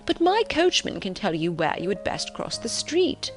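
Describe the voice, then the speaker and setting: A woman voicing a fussy, gentle old gentleman who talks on courteously and fretfully in an audiobook. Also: medium pitch, very animated, moderate pace. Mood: fussing. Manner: courteous, fretful, long-winded. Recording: relaxing music behind the voice.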